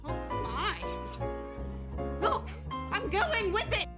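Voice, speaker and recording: A woman's voice: very high-pitched, sing-song, very slow, over music, exaggerated voice. A woman playing a fussy, proper, easily flustered cartoon hen with a British accent. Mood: flustered delight. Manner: prim, proper, fluttery.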